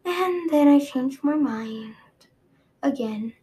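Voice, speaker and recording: A woman's voice: high voice, lively intonation, warm tone, pitched above the performer's own voice. A woman voicing a haughty, cruel dragon queen who speaks with cheerful malice. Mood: gloating. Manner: cheerfully malicious, drawn-out, imperious. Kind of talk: audiobook character reading.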